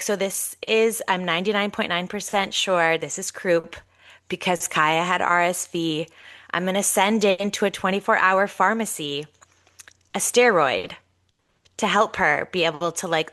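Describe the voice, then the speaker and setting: Congested, chatty woman with bright tone. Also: fairly low pitch, normal speed. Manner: animated, chatty storytelling. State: congested, nasal. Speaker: podcast co-host. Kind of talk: casual storytelling chat.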